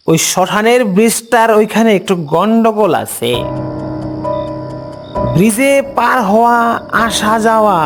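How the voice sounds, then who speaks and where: A man's voice: sits high, voice pushed up. A man voicing an elderly, cautious village tea-stall keeper who speaks earnestly in an eastern Bengali rural dialect. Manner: earnest, warning, folksy.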